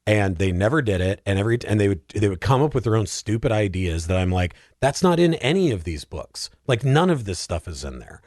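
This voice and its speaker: A man chatting casually about films as a podcast guest, animated, opinionated and colloquial in his talk. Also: lowish voice, a little fast, hardly any pauses, no echo, studio quality. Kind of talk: casual film discussion.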